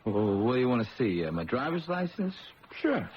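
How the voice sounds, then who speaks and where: A man's voice: mid-range voice, big pitch swings, normal speed, slight background noise, lightly acted. A man playing a folksy, self-pleased customer in a 1970s radio drama, casual in manner, on a muffled old recording. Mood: breezy.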